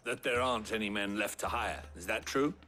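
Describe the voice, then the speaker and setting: A man's voice: on the low side, brisk, bright, forceful tone. A man playing a proud, simple, hot-headed town fighter in a fantasy game, speaking bluntly. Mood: challenging. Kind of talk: dramatic video game acting.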